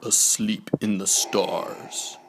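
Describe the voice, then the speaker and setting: Low gravelly guy reading bedtime story, super slow n hushed, bit of background noise. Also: little pitch movement, low-quality recording. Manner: hushed, measured rhyming delivery. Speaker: storybook narrator. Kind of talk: bedtime story read-aloud.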